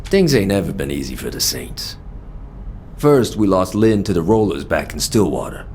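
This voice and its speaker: An actor playing a loyal, battle-worn American gang lieutenant, streetwise and candid with a gravelly voice, in a game audio log. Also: male voice, sits low, big pitch swings, moderate pace, a little mellow, a little hiss or hum. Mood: frank, loyal.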